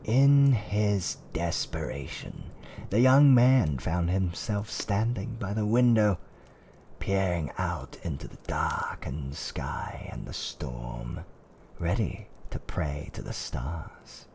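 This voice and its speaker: A man playing an older fantasy tavern owner, telling a dark fireside tale in a theatrical, suspenseful roleplay ASMR style. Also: deep voice, animated, creaky, a little hiss or hum, exaggerated voice. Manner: theatrical, archaic wording, drawn-out suspenseful delivery.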